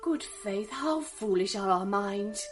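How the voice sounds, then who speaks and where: An actress playing a gentle, sorrowful young noblewoman in a radio drama, speaking softly and wistfully, at times half singing. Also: medium pitch, expressive, slow pace, over music. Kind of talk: 1980s radio drama acting.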